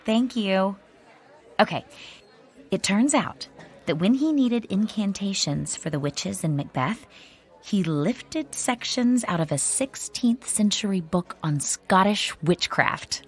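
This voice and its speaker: A woman's voice: mid-range voice, expressive, slow pace, breathy edge. A woman playing Diane, a chatty theater crew member who explains magic lore with relish. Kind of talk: radio drama acting.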